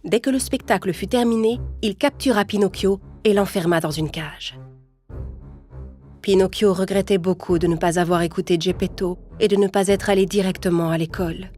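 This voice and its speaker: A woman narrating a cartoon fairy tale in a calm, even storytelling voice. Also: medium pitch, moderate pace. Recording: music playing underneath.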